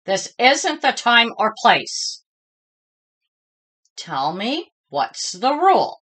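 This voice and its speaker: A woman voicing a patient but firm female schoolteacher who gently scolds and instructs in a children's story. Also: fairly high pitch, sing-song, drawn-out words, husky, pitched above the performer's own voice, heavily acted. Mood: instructive.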